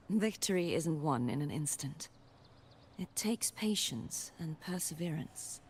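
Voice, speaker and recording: A woman voicing a solemn, steadfast mentor in a video game, speaking gravely and encouragingly. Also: lowish voice, darkish tone, slight background noise. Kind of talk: video game story dialogue.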